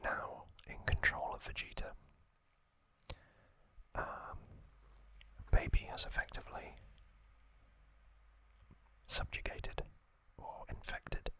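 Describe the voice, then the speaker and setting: Male ASMR host: slow, even whisper, very bright, deliberate short phrases. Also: low-quality recording. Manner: hushed, deliberate, short phrases with long gaps. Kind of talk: whispered ASMR trivia recap.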